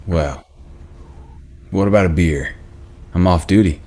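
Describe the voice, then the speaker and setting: A man acting a soft-hearted, concerned small-town cop in a naturalistic screenplay read, earnest and familiar. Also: sits low, unhurried. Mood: firm, cajoling. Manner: earnest, gently cajoling, plain-spoken.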